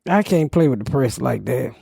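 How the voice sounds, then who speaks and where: A woman comedian with a deep voice, hosting a casual podcast and talking bluntly with lots of swearing. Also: lively intonation, moderate pace, creaky, warm tone, slightly rough, no room sound, clean studio sound. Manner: brash, profane, blunt. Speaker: comedian host.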